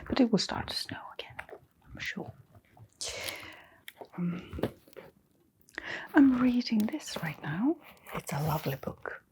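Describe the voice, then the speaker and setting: A woman playing a welcoming, gentle old-bookshop keeper in an ASMR roleplay, speaking in a soft, unhurried whisper with little hums and pauses. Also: a little high, sing-song. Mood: musing. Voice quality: soft breathy whisper with creak.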